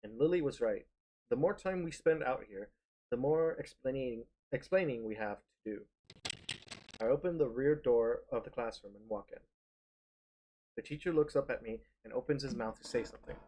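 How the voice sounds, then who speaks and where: A man narrating a first-person story aloud, reading haltingly with small stumbles. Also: medium pitch, mellow. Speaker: streamer reading a visual novel. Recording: clearly noisy background.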